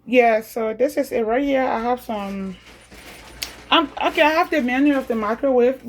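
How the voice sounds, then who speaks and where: A woman's voice: a little high, slight background noise. A woman from Liberia with a Liberian accent, chatting casually as she repeats each step of a home tutorial. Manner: chatty, repeats steps slowly. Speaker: home video creator.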